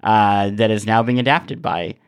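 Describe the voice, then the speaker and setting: A man's voice: lowish voice, normal speed, smooth. A man hosting a podcast in casual chat, telling stories in a rambling way with repeated words. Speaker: podcast host.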